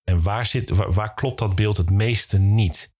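Male podcast host, low and rough-edged voice, casual interviewing with halting phrasing. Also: a little mellow.

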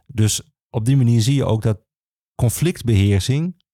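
A man speaking as a history expert guest in a podcast conversation, explaining things with hesitant, pausing phrasing. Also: mid-range voice, expressive, mellow, no room sound, clean studio sound.

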